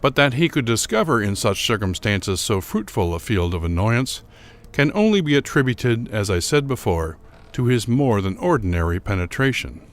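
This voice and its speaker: A male actor plays a troubled gentleman narrator of gothic horror, a man with a low voice that rises and falls widely. He recounts his past bitterly, in formal, deliberate phrases with a theatrical touch. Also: big pitch swings. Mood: brooding, aggrieved. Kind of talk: dramatic first-person reading of gothic horror fiction.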